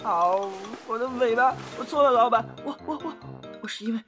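A woman playing a cocky, childish male dog demon in an audio drama, haughty but quick to stammer. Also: a little high, over music, lightly acted. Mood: whining, cowed. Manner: haughty, stammers when flustered.

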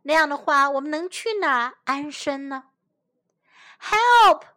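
A woman's voice: sits high, normal speed, bright, forceful tone. A woman voicing frightened little wild animals in a children's story, pleading and exclaiming for help. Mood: panicked pleading.

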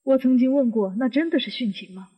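An actress playing a suspicious middle-aged aunt who questions and reasons step by step in a Chinese audio detective drama. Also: female voice, sits high, brisk, husky. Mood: suspicious, probing. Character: inquisitive, suspicious middle-aged aunt probing a supposed suicide. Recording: muffled, long hall reverb.

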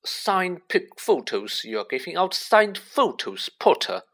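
A man puts on a storybook schoolboy bully. He is scathing and smug, pitched a little high, with lively swoops of mockery and contempt. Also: a little slow, husky, full character voice. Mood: loud, scathing. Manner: sneering, scathing, mocking. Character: scornful, jeering schoolboy bully, spoiled and smug.

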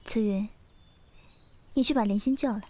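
A woman's voice: mid-range voice, normal speed, dark tone, natural voice. A woman acting a stern, jealous young wife who runs an old Chinese household, speaking in a haughty, accusing way in an audio drama. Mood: composed, commanding.

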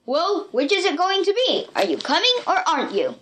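A woman voicing a brutish, greedy male pirate bully in a storybook, making loud, threatening demands. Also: on the high side, a little slow, slight background noise, natural voice. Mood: menacing, angry. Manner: shouting, menacing demands.

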